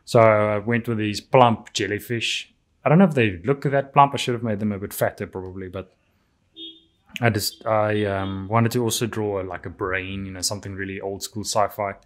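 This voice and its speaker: Afrikaans guy going over his ink drawings, kinda low voice, lots of ums and stops. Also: fairly even intonation, moderate pace. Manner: hesitant, many ums and restarts. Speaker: artist reviewing his drawings. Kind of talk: casual narration over artwork.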